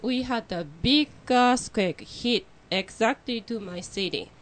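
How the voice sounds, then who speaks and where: Japanese-accented woman, halting speech. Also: on the high side, expressive. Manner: halting, with many fillers and non-native phrasing. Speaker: guest. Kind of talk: radio interview. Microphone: studio microphone.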